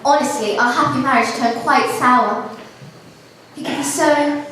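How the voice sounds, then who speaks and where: A woman's voice: high voice, steady tone, a little slow, a bit bright. A woman playing a genteel, chatty queen and doting mother in a live pantomime, theatrical and confiding. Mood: confiding, gossipy. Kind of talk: live amateur pantomime acting. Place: large school hall stage. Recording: distant echoey school hall, faint noise.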